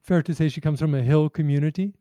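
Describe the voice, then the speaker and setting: Very mellow, smooth male interviewer. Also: a little high, fast talker, dry recording, studio quality. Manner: tentative, careful phrasing of questions. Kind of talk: conversational interview questioning.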